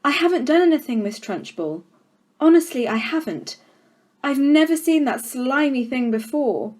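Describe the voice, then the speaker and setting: Woman playing a high-pitched, protesting schoolgirl. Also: lively intonation, normal speed, a little mellow. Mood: indignant, anxious. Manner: earnest, pleading.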